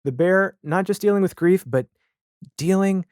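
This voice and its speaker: A man's voice: sits high, normal speed, a little mellow, dry recording, studio quality. A man hosting a podcast, chatting casually about television in a candid, wry and emphatic way. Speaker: podcast host.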